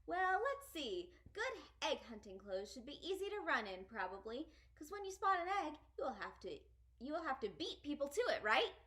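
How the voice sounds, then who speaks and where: Woman putting on an eager, bright toy elephant. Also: sits high, big pitch swings, normal speed, pitched above the performer's own voice. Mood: thoughtful. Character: agreeable, enthusiastic male stuffed toy elephant, childlike advisor.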